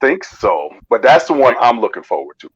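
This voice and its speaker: A man's voice: mid-range voice, big pitch swings, brisk, crowd noise. A man giving opinions casually on a review panel, emphatic and repeating himself for stress. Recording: dull, lo-fi microphone.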